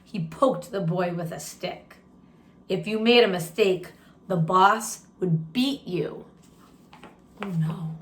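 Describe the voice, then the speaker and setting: A woman reading a children's picture book aloud expressively, pausing to ask listeners questions and share reactions. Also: lowish voice, fairly bright tone, a little hiss or hum. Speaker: story time host, read-aloud teacher. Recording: roomy, echoing room sound.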